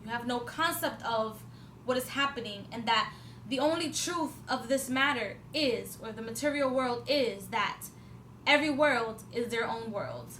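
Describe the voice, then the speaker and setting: A woman's voice: a little high, expressive, a little slow, fairly bright tone. A woman in her twenties vlogging, talking at length in a rambling, casual way, with many fillers and restarts and an occasional swear for emphasis. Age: 20s. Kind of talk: casual spiritual talk to camera. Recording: echoey room with faint background noise.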